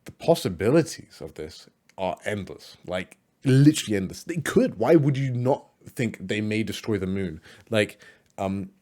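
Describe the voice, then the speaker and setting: A man's voice: on the low side, expressive, normal speed, dark tone. A man giving casual, rambling reaction commentary on other creators' videos, with many fillers and restarts.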